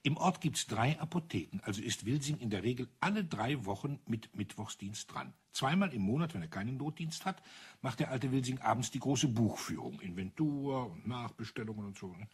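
An actor playing an old retired officer in a crime radio play, telling things in a deliberate, matter-of-fact, bitter way with a husky voice. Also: male voice, medium pitch, expressive. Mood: dry, explanatory. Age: older.

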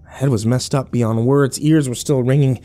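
Battered bitter young man, male actor, dark mellow tone, terse sardonic horror narration, faint music behind. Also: medium pitch, fairly even intonation, moderate pace, close to an everyday voice. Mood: weary, bitter. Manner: terse, sardonic, profane, clipped fragments. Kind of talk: dramatic first-person horror audio drama narration.

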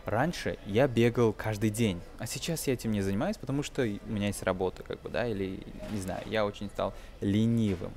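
A man's voice: fairly low pitch, brisk, few pauses, a little mellow. A man in his twenties giving a casual English lesson over a film, explaining hesitantly and switching into English for examples. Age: 20s. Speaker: English teacher, video host.

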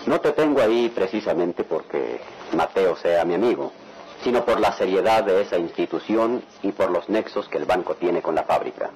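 A man's voice: low-pitched, expressive, normal speed, darkish tone. An actor playing a stern, cautious middle-aged father in a radionovela, lecturing with authority. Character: stern, cautious father with plans for his children. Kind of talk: radionovela acting. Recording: noisy old radio drama recording.

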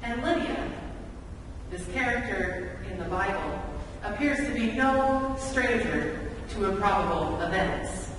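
A woman in her thirties preaching a sermon from a church pulpit in measured, deliberate phrases with rhetorical repetition. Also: mid-range voice. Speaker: pastor. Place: large church sanctuary, at the pulpit. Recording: echoey church hall, distant mic, background noise.

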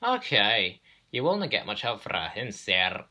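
A man's voice: lowish voice, big pitch swings, slow, sharp tone, exaggerated voice. A man voicing cheeky twin storybook engines with a Scottish accent and a solemn show of innocence.

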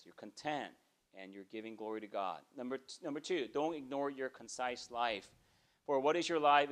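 A man in his forties preaching a sermon in a small church, talking conversationally and often checking in with "okay?". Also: mid-range voice. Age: 40s. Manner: conversational preaching, frequent "okay?" check-ins, animated gestures. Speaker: pastor. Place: small church sanctuary, indoors.

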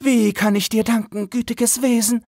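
A man's voice: extremely high pitch, fairly even intonation, moderate pace, gravelly, a little mellow, dry recording, clean studio sound, voice pushed up. A man voicing a grateful, earnest young slave woman in a fairy tale. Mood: overjoyed, grateful.

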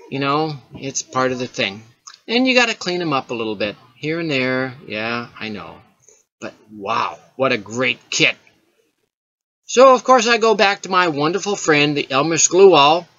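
Cheerful chatty man, bright lively voice. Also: on the high side, highs cut off. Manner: enthusiastic, chatty, asks the viewer questions. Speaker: model railroad hobbyist host. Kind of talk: casual voice-over over a build tutorial.

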